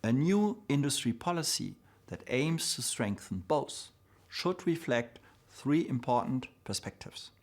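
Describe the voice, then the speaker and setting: A man's voice: medium pitch, animated. Man delivering formal prepared corporate remarks. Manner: formal, measured, deliberate. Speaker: corporate executive giving opening remarks. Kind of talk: read prepared speech.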